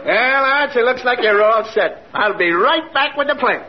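Very high-voiced chummy radio swindler. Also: male voice, lively intonation, normal speed. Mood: glib, salesy. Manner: glib, hustling patter. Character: fast-talking small-time male swindler, falsely chummy. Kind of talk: 1940s-50s radio comedy acting. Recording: muffled old radio with clear noise.

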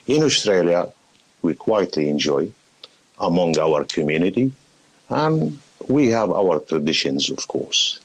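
A middle-aged man from Palestine, a podcast guest, talking slowly and hesitantly with many fillers. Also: rumbling low, slightly rough, rough recording. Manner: slow, hesitant, many fillers, laughs often. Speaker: guest, shop owner. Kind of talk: podcast interview.

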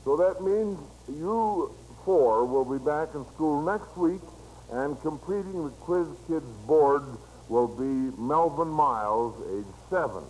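A man's voice: fairly high pitch, slow, a little mellow, slight background noise, low-quality recording. An American man hosting an old-time radio quiz show in a folksy, encouraging manner, on a vintage broadcast recording. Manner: folksy, encouraging toward child contestants.

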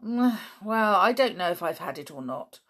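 A woman's voice: mid-range voice, lively intonation, normal speed, fairly bright tone. A woman voicing an irritable, absent-minded middle-aged male scientist who is vague one moment and furious the next. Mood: peevish. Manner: peevish and vague, bursting into fury.

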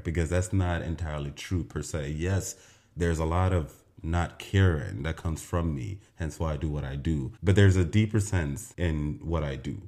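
A man's voice: deep voice, mellow. A young man from Nigeria hosting his podcast, talking earnestly and emphatically with frequent restarts. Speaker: podcast host. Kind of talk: casual podcast monologue.

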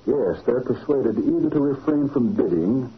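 An actor playing a sly, smug crooked contractor with oily courtesy, in old-time radio drama style. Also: male voice, mid-range voice, lively intonation, normal speed, husky. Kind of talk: 1940s radio acting. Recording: dull, noisy old radio recording.

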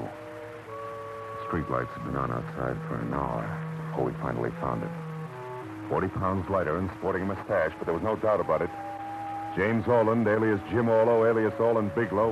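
Casting: hard-boiled radio gumshoe, deep-voiced, slangy and clipped, on a muffled vintage broadcast. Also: male voice. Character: tough, world-weary male private eye in love. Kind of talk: 1940s-50s radio detective drama acting with first-person narration. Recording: dull vintage radio broadcast, loud music.

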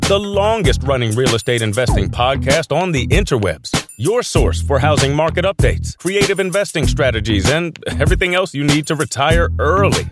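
A man's voice: on the high side, very animated, normal speed, hardly any pauses. A man delivering a bouncy, sing-song intro for a podcast. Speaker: show announcer. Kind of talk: sung intro over music. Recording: loud hip hop music under voice. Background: hip hop music.

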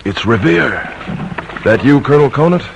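An actor playing a brisk, determined colonial patriot horseman, terse and urgent, in old-time radio drama style. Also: medium pitch, expressive, vocal fry, muffled recording. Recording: noisy old radio recording.

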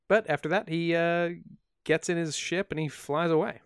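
A man's voice: fairly high pitch, steady tone, moderate pace, clean tone, no echo, studio quality. A man co-hosting a podcast, recounting an odd story in a wry, joking way with plenty of fillers. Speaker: podcast co-host. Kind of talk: casual storytelling of a strange tale.

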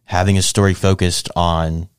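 A man's voice: deep voice, flat delivery, moderate pace, clear, resonant tone, no room sound, clean studio sound. A man co-hosting a podcast in casual, conversational talk about films. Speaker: podcast co-host. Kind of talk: casual film discussion chat.

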